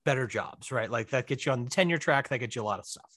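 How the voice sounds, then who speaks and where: A man's voice: medium pitch, lively intonation, fast talker, a bit bright, dry recording, studio quality. An American man in his thirties chatting casually as a guest, rambling and often cutting himself off. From Missouri, US. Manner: rambling, digressive, self-interrupting. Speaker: podcast guest, film director. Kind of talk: casual chat.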